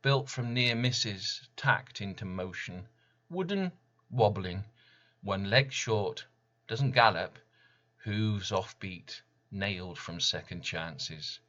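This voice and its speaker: Male poet, lowish pitch, firm bright tone, solemn and deliberate dramatic recital in short phrases, slightly dull sound. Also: lively intonation. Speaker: poet reading own work.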